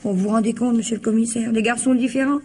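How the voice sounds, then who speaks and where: Petite bonne naïve et indignée, jouée par une comédienne, voix voilée. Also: female voice, fairly high pitch, fairly even intonation, quick pace, warm tone, lightly acted. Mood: indignant. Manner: colloquial, gushing, plaintive. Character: naive, indignant, chatty young housemaid, easily distressed. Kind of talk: French radio mystery play acting.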